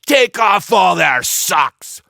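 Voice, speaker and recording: Blustery male pirate captain played by a male reader, raised pitch, whiny little-brother streak, storybook style. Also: big pitch swings, slow, vocal fry, dry recording, clean studio sound, soft background music, exaggerated voice. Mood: roaring, defiant. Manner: loud exclamatory blustering.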